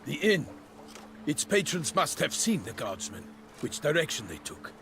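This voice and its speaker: An actor playing a proud, earnest knight errant in a video game, speaking in a formal, flowery, theatrical way. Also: male voice, a little high, big pitch swings, normal speed, noisy background. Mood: courtly, earnest. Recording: music behind.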